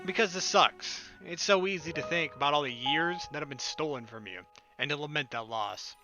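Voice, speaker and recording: A man's voice: on the high side, moderate pace, low-quality recording. A man playing a visual novel, reading its narration and dialogue aloud expressively with casual asides. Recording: game music behind the voice.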